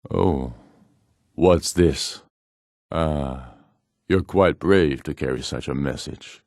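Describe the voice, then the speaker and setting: An actor playing a proud, commanding middle-aged rebel jarl in a fantasy video game, speaking in solemn, imperious, measured oratory. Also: male voice, very deep voice, darkish tone, no room sound, studio quality, exaggerated voice. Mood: measured, ominous.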